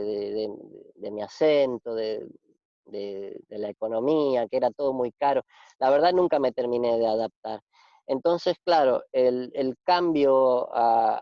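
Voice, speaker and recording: A man in his forties from Argentina, with an Argentine Spanish accent, talking reflectively in a casual interview, pausing and restarting often. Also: mid-range voice, dry recording. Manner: reflective, halting with many restarts and fillers. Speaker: interview guest. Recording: dull, dry lo-fi sound.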